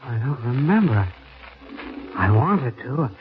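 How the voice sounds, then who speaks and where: A man playing a despairing young fugitive, halting and weary, in a 1940s radio melodrama. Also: mid-range voice, sing-song. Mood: dazed, confused. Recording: dull vintage 1940s radio recording.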